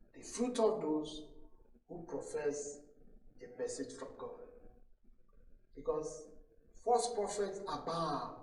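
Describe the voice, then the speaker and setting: A man's voice: sits high, darkish tone. A man preaching a sermon as a pastor, solemn and admonishing, repeating phrases for emphasis. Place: church hall during a service. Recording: echoey room, distant microphone.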